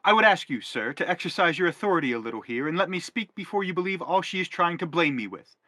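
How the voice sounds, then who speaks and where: A man's voice: on the high side, animated, fast talker, sharp tone, dry recording. A man playing an earnest, self-justifying father in a stage drama, speaking in an emphatic, declamatory way. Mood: pleading. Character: earnest, self-justifying father pleading his family's case. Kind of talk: theatrical stage play acting.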